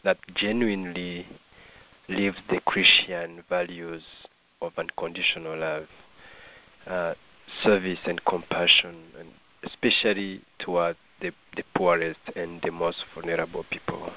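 A middle-aged man with a Rwandan accent giving reflective personal testimony as an interview guest, earnest and measured, in formal phrasing, on slightly dull audio. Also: deep voice, little pitch movement.

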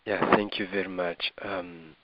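A middle-aged man with a Rwandan accent giving reflective personal testimony as an interview guest, earnest and measured, in formal phrasing, on slightly dull audio. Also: low-pitched, flat delivery, heavy background noise.

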